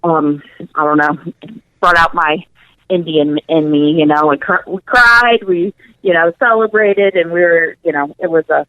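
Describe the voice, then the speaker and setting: A woman's voice: deep voice, measured pace. Lady guest chatting on a radio show, earnest, repeats words to stress them, dull lofi phone sound, dry no echo. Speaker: interview guest, nutrition coach. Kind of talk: casual radio interview chat.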